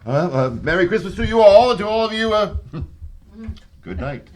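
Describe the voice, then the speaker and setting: An actor playing a genial, idealistic gentleman hero in an old-time radio drama, courtly and theatrical. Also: high-pitched, animated, normal speed. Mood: flustered, jovial. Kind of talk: 1940s radio acting.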